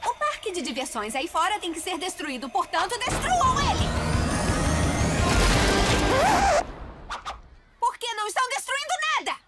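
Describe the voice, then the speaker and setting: A woman's voice: very high voice, sing-song, fairly quick, loud music behind the voice. A woman voicing a bossy, scheming girl scientist with an imperious, dramatic manner in a cartoon dub. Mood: commanding.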